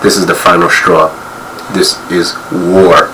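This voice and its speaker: Hoarse man with a very deep voice. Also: little pitch movement, takes it slowly, recorded from a distance, noisy background. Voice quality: quiet, strained. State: hoarse, losing his voice. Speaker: video commentator. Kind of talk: angry commentary.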